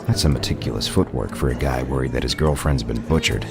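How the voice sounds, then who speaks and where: A gruff detective played by a man in an audio drama. The voice is extremely low and creaky, with a dry, sardonic edge. Music plays clearly underneath. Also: fast. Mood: dry, matter-of-fact. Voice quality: gravelly. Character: gruff, sardonic male detective laying out a case. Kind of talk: audio play acting, detective explaining a case.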